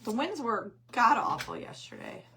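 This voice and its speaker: Hoarse, squeaky older woman chatting. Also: very animated, moderate pace, bright, forceful tone, slight background noise. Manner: chatty, rambling, reads viewer comments aloud. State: hoarse, losing her voice. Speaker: craft livestream host. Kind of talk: casual livestream chat while painting.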